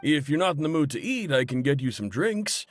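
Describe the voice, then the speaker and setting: An actor voicing a polite, upbeat cafeteria cook serving customers in a video game, lively and salesman-like, over music. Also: on the high side, brisk, no room sound. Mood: welcoming.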